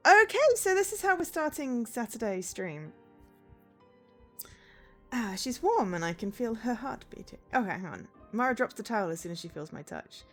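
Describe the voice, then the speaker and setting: A woman's voice: a little high, very animated, moderate pace, fairly bright tone, soft background music. A woman game streamer chatting live and reading game text aloud, breaking off for exasperated asides.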